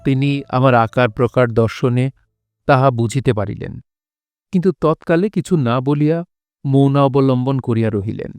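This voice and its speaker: An actor playing a courteous, grateful nobleman who recounts his past in formal, measured words in an audio drama. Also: medium pitch, a little mellow, smooth, no echo, natural voice. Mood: earnest recounting. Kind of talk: audio drama first-person storytelling, formal literary diction. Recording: music behind the voice.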